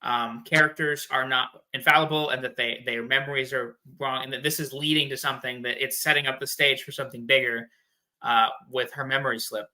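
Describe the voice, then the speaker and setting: Bright, forceful man chatting casually. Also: medium pitch, fairly even intonation, fairly quick, rough recording. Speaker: podcast guest. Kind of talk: casual fan-theory discussion.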